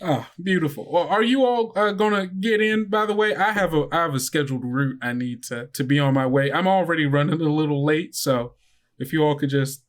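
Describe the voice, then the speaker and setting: A man voicing a brisk, chatty, theatrical female bus driver in a tabletop game. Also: a little high, voice pushed up, lightly acted. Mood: amused, hurried.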